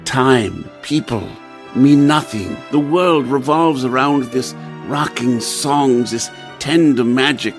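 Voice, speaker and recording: An actor playing a haunted, rapturous composer, delivering a poetic, theatrical radio play monologue. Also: male voice, mid-range voice, slow, soft background music, natural voice. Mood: wistful, rapturous. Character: haunted, rapturous male composer narrating his torment. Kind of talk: dramatic first-person radio play monologue.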